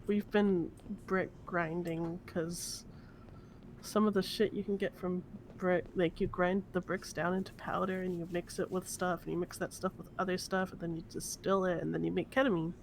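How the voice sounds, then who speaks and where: A woman's voice: fairly low pitch, flat delivery, normal speed. A woman chatting casually in character in an online roleplay game, playful, repeating and stumbling over her words. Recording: clear background noise.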